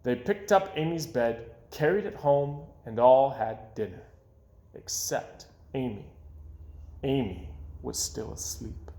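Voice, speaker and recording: A man reading a children's picture book aloud as an animated storyteller. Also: mid-range voice, slow, creaky. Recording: roomy, echoing sound.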